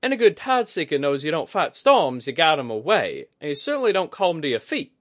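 Weathered, plain-spoken seafaring woman played by a male narrator, folksy and superstitious, lifted pitch, for a fantasy audiobook. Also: sing-song, fairly quick, dry recording, clean studio sound. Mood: gruff, bitter. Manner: folksy, clipped dropped-g speech.

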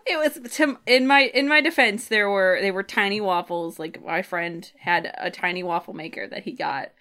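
Woman chatting on a podcast, kinda giggly and jokey, medium pitch voice. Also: normal speed, pushed and bright. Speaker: podcast co-host. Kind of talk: casual podcast chat.

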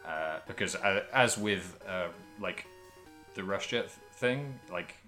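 A man co-hosting a podcast, casually talking through video games in a halting, thinking-aloud way. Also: low voice, expressive, pushed and bright, faint music behind. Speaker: podcast host. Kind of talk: casual video game discussion.

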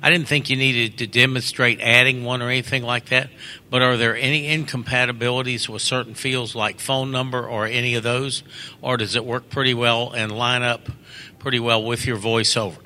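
A man's voice: medium pitch, flat delivery, normal speed, bright, forceful tone. A man in the audience of a meeting, asking a long, chatty question into a handheld mic.

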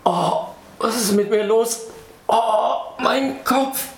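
A woman's voice: on the high side, slow pace. A woman playing a dazed, frightened woman in an amateur radio play, moaning and repeating herself. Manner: moaning, stammering, repetitive. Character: dazed, frightened woman waking up covered in blood. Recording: very echoey, distant, faintly noisy.

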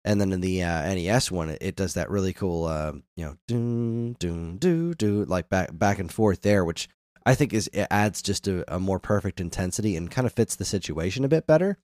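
A man's voice: sits low, brisk, darkish tone, dry recording, studio quality. A man hosting a casual music discussion, speaking enthusiastically and illustrating his points with hummed melodies. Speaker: music discussion podcast host.

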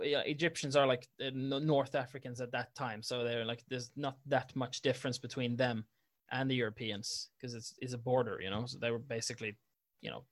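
A man in casual conversation, rambling with lots of fillers and restarts, and laughing easily. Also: mid-range voice. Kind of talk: casual chat.

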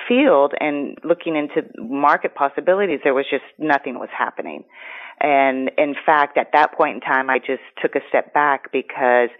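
Greek woman on a tinny phone line. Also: sits low. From United States. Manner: rambling, frequent fillers and restarts. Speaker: guest. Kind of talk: casual interview talk.

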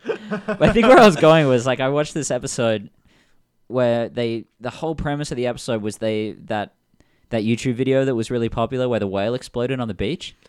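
A man with an Australian accent hosting a casual podcast chat, blunt and deadpan. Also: medium pitch, fairly quick, clear, resonant tone, dry recording. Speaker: podcast host.

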